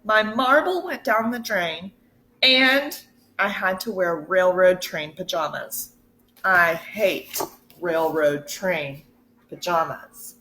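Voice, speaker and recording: Slow-paced woman reading a storybook aloud. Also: on the low side, a little hiss or hum, poor audio. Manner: expressive, deliberate, pauses to ask listeners questions. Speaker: teacher reading a picture book to children.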